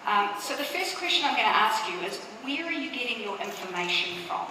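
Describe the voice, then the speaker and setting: A middle-aged woman with a New Zealand accent gives a conference talk in a relaxed, chatty way, sprinkling in fillers and little asides. She is recorded in a big hall with heavy reverb. She sounds distant from the mic, and a clear room hum sits under her voice. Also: on the high side, fairly quick. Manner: conversational, uses fillers and asides. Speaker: conference speaker.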